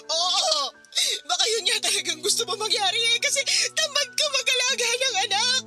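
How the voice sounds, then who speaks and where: Woman acting bitter grieving wife in a radio drama, really high voice, tearful and blaming, music behind. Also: normal speed. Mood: bitter, scornful. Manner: tearful, reproachful, emotional delivery. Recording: music under the voice.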